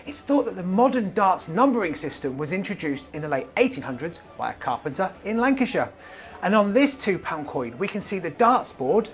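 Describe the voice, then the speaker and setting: A British man in his forties presenting a scripted promotional piece to camera in a chatty, enthusiastic way. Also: on the high side. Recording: clean voice over background music. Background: music.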